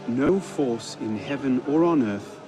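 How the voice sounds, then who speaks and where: An actor playing an earnest young assassin hero, low and grave. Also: male voice, lively intonation, measured pace, over music, close to an everyday voice. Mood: determined.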